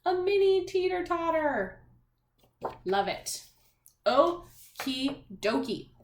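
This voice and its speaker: A woman teacher talking young children through a read-aloud and math lesson in an animated, encouraging, sing-song way. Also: extremely high pitch, slow. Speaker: primary school math teacher. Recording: echoey room, faint background noise.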